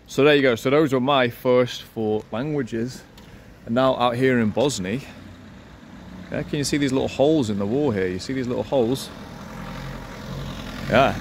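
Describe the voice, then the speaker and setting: A man's voice: medium pitch, slight background noise. A man in his thirties, a language teacher, talking in a playful, self-assured way in a casual vlog recorded outdoors. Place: outdoors in a city street, by a wall.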